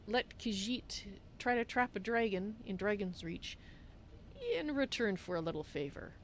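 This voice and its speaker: A woman's voice: mid-range voice, natural voice. Woman playing a chatty cat-folk adventurer, noisy background. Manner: speaks of self in third person. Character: female Khajiit cat-folk adventurer, polite, chatty, persuasive. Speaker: roleplay streamer. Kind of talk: improvised in-character fantasy game roleplay.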